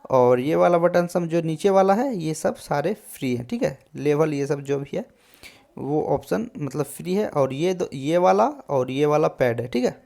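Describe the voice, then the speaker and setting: A man hosting a casual tutorial on music software, explaining step by step and often adding short check-in tags. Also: on the high side, animated, a little slow, vocal fry. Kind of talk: casual screen-recorded tutorial.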